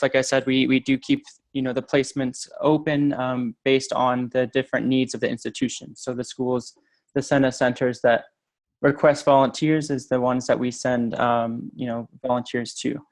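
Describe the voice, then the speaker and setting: A man presenting in an online briefing. He speaks in a flat, level tone and stops often to fill gaps and restart his sentences. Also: mid-range voice, moderate pace. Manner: hesitant, many ums and restarts. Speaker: program staff presenter. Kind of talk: webinar presentation.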